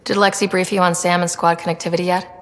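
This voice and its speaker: A woman's voice: lowish voice, level tone, quick pace, a bit bright, big-room sound, lightly acted. An actress playing a young, curious, easygoing space explorer in a video game, casual and direct in her questions. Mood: inquisitive. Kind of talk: video game character dialogue.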